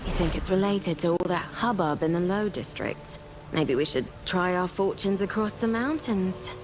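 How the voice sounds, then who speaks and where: A woman's voice: fairly low pitch, moderate pace, natural voice. An actress voicing a curious, restless townswoman chatting as a video game bystander. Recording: clear ambient noise.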